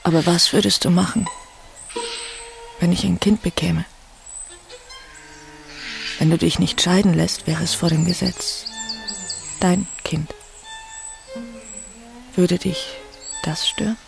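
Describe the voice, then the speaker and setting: An actress in a German radio drama playing an unhappy young wife who questions quietly and hesitantly. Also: female voice, lowish voice, fairly even intonation, slow, gravelly, long pauses, soft background music, heavily acted. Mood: probing, subdued.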